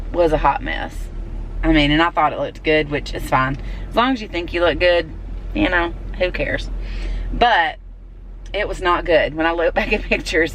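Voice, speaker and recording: A woman's voice: deep voice, fairly bright tone, a little hiss or hum, rough recording. A woman from Alabama with a Southern accent, chatting casually to her viewers in a rambling way with many fillers while out running errands. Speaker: vlogger. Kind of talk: casual chat to camera.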